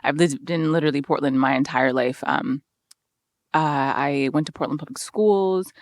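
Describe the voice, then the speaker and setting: A young woman from Sudan, a podcast guest in her twenties, talking excitedly with lots of likes and ums. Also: deep voice, moderate pace, no echo. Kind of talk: casual chat.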